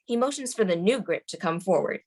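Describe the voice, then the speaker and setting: A woman's voice: mid-range voice, lively intonation, brisk, a little mellow. A woman reading screenplay stage directions as the narrator at a table read.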